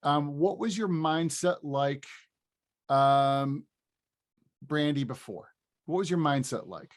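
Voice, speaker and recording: A man's voice: a little high, animated, slow pace. Man in his fifties hosting a podcast. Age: 50s. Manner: rambling, restarts phrases, laughs easily. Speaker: podcast host. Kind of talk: casual interview chat.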